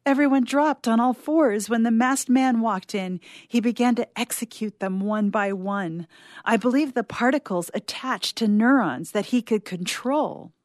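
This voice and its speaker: A woman playing an uneasy doctor who recounts events in the first person, reading a suspense story in a measured, solemn way. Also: on the high side, darkish tone, dry recording, clean studio sound, voice pushed up, natural voice. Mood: tearful, earnest. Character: uneasy, secretly sinister doctor testifying as sole survivor.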